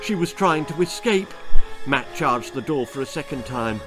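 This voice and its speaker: A man's voice: a little high, animated, normal speed, over music. A man reading a spooky, darkly comic children's story as its author, with dramatic, suspenseful delivery. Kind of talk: scripted children's horror-comedy storytelling.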